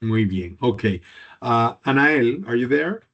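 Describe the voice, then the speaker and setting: Male online class instructor, mid-pitched, stammery and repetitive, dull video-call audio. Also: lively intonation, a bit bright. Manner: halting, repeats words, drops into Spanish and Italian.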